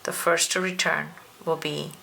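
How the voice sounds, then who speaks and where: A woman reads a children's story aloud in an expressive, deliberate way. Her voice is bright and forceful with noticeable fry, and there is faint noise behind her. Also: low-pitched, poor audio. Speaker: storybook narrator. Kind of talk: children's read-aloud, scripted narration.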